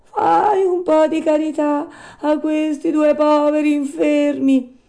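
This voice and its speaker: A woman voicing a wretched, sly old begging fox in a storybook, whining and pleading in drawn-out phrases. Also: high-pitched, level tone, creaky, dark tone, pitched above the performer's own voice, full character voice.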